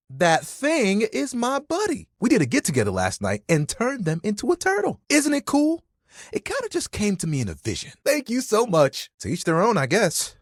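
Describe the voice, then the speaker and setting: A man's voice: high voice, no echo, studio quality, exaggerated voice. A man voicing a friendly, vain, dramatic living towel in a video game, chatty and playful with an easily wounded manner. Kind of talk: animated, conversational game character acting.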